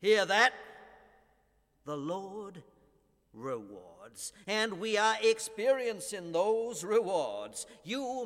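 An actor in a radio play playing a smooth-talking evangelical pastor with a persuasive, salesman-like manner and an uneasy conscience. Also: high voice, a bit bright, no room sound, studio quality, heavily acted. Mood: fervent preaching. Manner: stammering, salesman-like persuasion, swelling into preaching. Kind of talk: radio drama acting.

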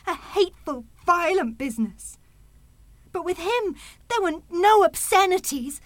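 A woman's voice: high-pitched, fairly bright tone, no room sound. A woman playing a defiant, passionate young mother in a radio play.

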